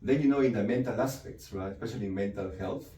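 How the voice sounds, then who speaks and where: Man, low and mellow. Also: fast. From Peru. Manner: explanatory, frequent "right?" and "you know" tags. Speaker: psychiatrist. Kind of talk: interview answers. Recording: echoey room, mic from a distance, faint noise.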